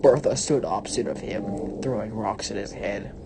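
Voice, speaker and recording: Very deep-voiced woman reading theatrically. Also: creaky, background noise. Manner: deliberate, theatrical phrasing. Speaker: story reader. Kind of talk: mock-dramatic scripted narration.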